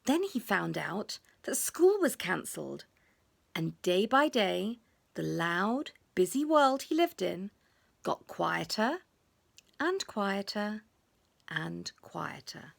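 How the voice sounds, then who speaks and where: A woman reading a children's storybook expressively, with drawn-out emphasis and hushed moments. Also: medium pitch, sing-song, gravelly. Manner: dramatic pauses, drawn-out emphasis, drops to hushed tones.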